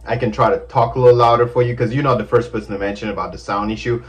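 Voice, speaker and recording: A man with a Caribbean accent, co-hosting a casual chat, loud and teasing, laughing often. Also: mid-range voice, steady tone, rapid-fire, distant mic. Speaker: co-host.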